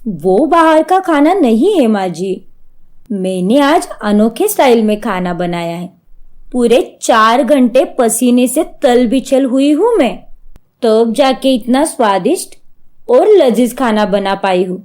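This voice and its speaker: A woman's voice: on the high side, lively intonation, slow, darkish tone. A woman voicing a sly, scheming young daughter-in-law in a cartoon story, with a theatrical, wheedling manner. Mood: sweetly boastful.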